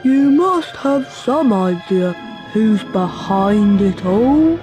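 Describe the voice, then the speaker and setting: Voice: medium pitch, animated, takes it slowly, over music. An actor playing an earnest, worried schoolboy wizard hero in a video game. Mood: probing.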